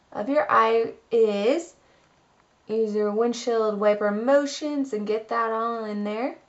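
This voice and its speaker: High-voiced young woman, slightly dull recording. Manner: chatty, informal. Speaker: beauty vlogger. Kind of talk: casual makeup tutorial. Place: small room at home.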